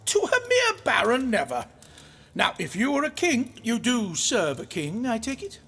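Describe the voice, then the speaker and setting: High, swooping, flamboyant adventurer. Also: male voice, huge pitch swings, measured pace, creaky, sharp tone, slightly rough, full character voice. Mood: defiant. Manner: theatrical, impudent questioning. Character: flamboyant, defiant time-travelling adventurer in jester-like clothing. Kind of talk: audio drama acting.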